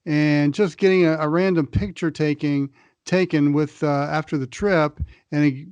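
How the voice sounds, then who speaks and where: A man's voice: a little high, fairly even intonation, moderate pace, a little mellow, clear, resonant tone, dry recording, highs cut off. A man co-hosting a sports podcast, chatty and wry, with frequent hesitations. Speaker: podcast co-host. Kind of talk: casual sports talk.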